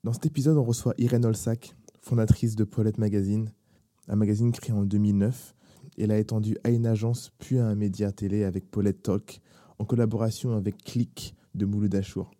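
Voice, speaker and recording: A man's voice: fairly low pitch, fairly even intonation, fairly quick, dark tone, no room sound, studio quality. A man hosting a podcast, presenting and interviewing guests. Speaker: podcast host. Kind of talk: scripted podcast intro and interviewing.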